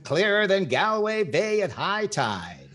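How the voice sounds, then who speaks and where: A man's voice: high voice, huge pitch swings, slow, fairly bright tone, heavily acted. A middle-aged man playing a dry, witty host in 1940s radio comedy style. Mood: wry, inquisitive.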